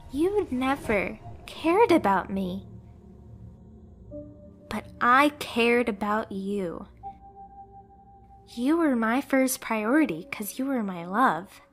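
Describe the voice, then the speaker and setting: Girl voice actor doing a jealous unhinged catgirl, asmr roleplay, high and really slow, super emotional. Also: sing-song, a bit bright, soft background music, heavily acted. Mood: hurt, pleading. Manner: emotional, dramatic, at times dropping to a whisper. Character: yandere pet catgirl, lonely, clingy, jealous, unhinged lover. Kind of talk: anime ASMR roleplay acting.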